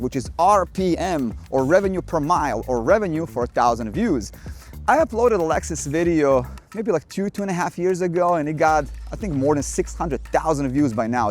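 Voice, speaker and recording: Wry Serbian-accented man over soft music. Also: on the high side. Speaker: photography YouTuber. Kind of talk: scripted vlog monologue. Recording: faint music underneath. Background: faint music.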